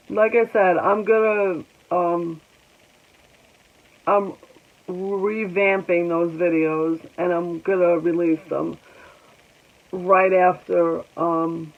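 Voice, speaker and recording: Woman, forties, congested, slow halting vlog talk, gravelly, tinny phone-like sound. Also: lowish voice, steady tone. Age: 40s. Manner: halting, reflective, with many pauses and ums. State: congested, clearing her throat often. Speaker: vlogger. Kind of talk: personal vlog talking to viewers.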